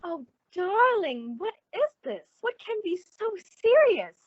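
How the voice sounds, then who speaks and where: An actress playing a gushing, solicitous, sociable woman with effusive, drawn-out emphasis in an old-time radio suspense drama. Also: extremely high pitch, big pitch swings, no room sound, highs cut off, exaggerated voice. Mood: puzzled, reluctant.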